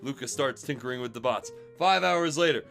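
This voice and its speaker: A man's voice: mid-range voice, brisk, creaky, fairly bright tone. A man giving a comic dramatic read-aloud on a livestream, playful and prone to breaking into laughter. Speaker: streamer reading fan fiction. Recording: background music behind the voice. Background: music.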